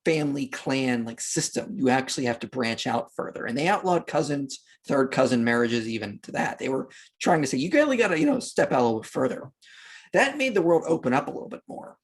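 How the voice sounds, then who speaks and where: A man's voice: medium pitch, lively intonation, fairly quick, breathy edge. A man speaking as a podcast guest, explaining history in an emphatic, conversational way and acting out imagined quotes. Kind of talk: animated explanatory discussion of history.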